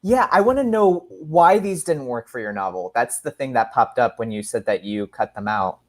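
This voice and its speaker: Low-pitched, very animated woman co-host. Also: normal speed. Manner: effusive, animated. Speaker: podcast co-host and story reader. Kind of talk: casual live podcast chat.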